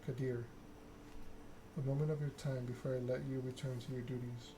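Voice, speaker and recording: Stern vampire monarch for a game, female role read by a man: formal, imperious, flat intonation. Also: mid-range voice, normal speed, background noise, close to an everyday voice. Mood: commanding. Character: stern, formal vampire prince holding court, a woman.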